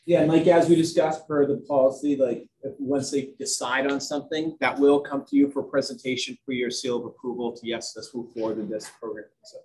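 School admin, male, mid-pitched, casual meeting talk. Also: steady tone, brisk, hardly any pauses, a little mellow, rough recording. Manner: conversational, loose with fillers. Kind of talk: meeting remarks.

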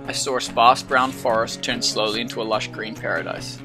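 A man in his twenties with a New Zealand accent, narrating his hike in a calm, reflective voice-over. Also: mid-range voice, brisk. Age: 20s. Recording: voice-over with music playing underneath.

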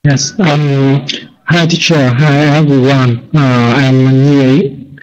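A man's voice: mid-range voice, fairly even intonation, slow pace. A man in his twenties introducing himself hesitantly, with many fillers, in an online class. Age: 20s. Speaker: student. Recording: lo-fi online call with faint noise.

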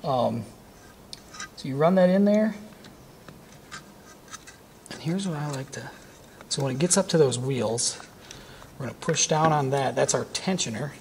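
Casual shop demonstrator, thirties, gravelly voice, lapel mic, noisy workshop background. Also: male voice, medium pitch, animated, a little slow, long pauses, a little mellow. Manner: casual, talks while working with his hands. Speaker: welding equipment demonstrator. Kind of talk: hands-on product demonstration. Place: welding shop corner with curtain backdrop.

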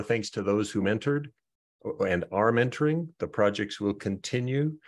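A man hosting an online project meeting, talking through updates in a halting way and often repeating words as he thinks. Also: fairly low pitch. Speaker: meeting host.

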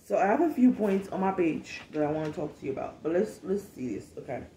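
A woman's voice: lowish voice, very animated, fast, dark tone, a little hiss or hum. A woman in her late twenties, raised in Cameroon, speaks English as her second language in a laid-back podcast monologue. She is candid and swears freely. The phone recording picks up a roomy echo from the space around her. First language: not English. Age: 20s. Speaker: podcast host. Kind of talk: casual monologue. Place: home room, seated at a table.

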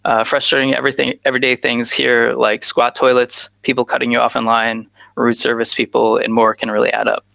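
A man's voice: mid-range voice, flat delivery, fairly quick, gravelly, hardly any pauses, sharp tone, dry recording. A man in his thirties from the United States gives a conference talk over a video call on a headset, with frequent "uh" fillers. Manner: frequent "uh" fillers, earnest and informal. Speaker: guest speaker.

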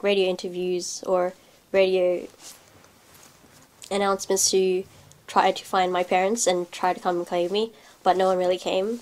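A young woman in her twenties, speaking in a flat, even tone at a middle pitch. She talks hesitantly with many fillers, and soft guitar music plays faintly behind her. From Philippines. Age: 20s. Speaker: interviewee. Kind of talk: documentary interview.

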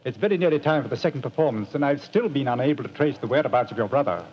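An actor playing a dutiful young army captain in a 1940s radio drama. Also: male voice, medium pitch, animated, racing, slight background noise, close to an everyday voice. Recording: dull lo-fi radio recording.

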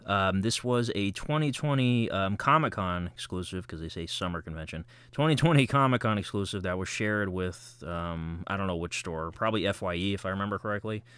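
A low-voiced man talks through a toy unboxing in a loose, rambling way, filling pauses with ums and little laughs. His voice is smooth and resonant, and the sound is dry and close with almost no room in it. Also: a bit bright, dry recording. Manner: rambling, many ums, chuckles often. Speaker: collectible unboxing vlogger. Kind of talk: casual unboxing chat.

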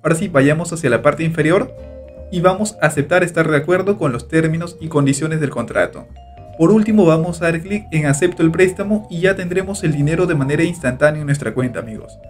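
A man calmly narrating a step-by-step screen tutorial as host, walking through each click. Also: on the high side, fast, faint music behind. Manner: steady instructional narration, repeats click steps. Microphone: voice-over over screen recording.

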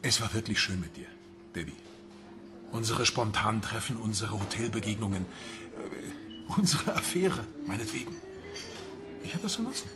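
A man's voice: lowish voice, expressive, moderate pace, pauses often, natural voice. An actor playing a married man in a German radio crime drama, hesitant and defensive as he justifies himself. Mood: awkward, firm. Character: married man evasively ending his affair, self-justifying. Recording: music behind the voice.

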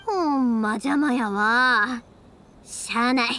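A woman's voice: fairly high pitch, slow pace, pushed and bright, a little hiss or hum. A woman voicing a spirited, caring big-sisterly young woman in an anime game, with a Kansai accent. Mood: determined.

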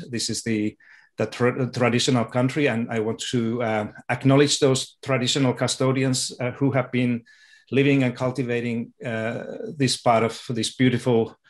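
A middle-aged man from Finland with a Finnish accent, speaking as a guest on a video call, thoughtful and halting with frequent restarts. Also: medium pitch, steady tone. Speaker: guest education researcher. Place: home room with sofa and guitar. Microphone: video call webcam.

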